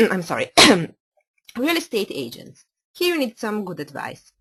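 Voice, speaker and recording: A woman from Italy, a native Italian speaker with an Italian accent, presenting a webinar as an architect in careful, slightly halting English. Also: mid-range voice, huge pitch swings, a bit bright, slightly rough, dry recording.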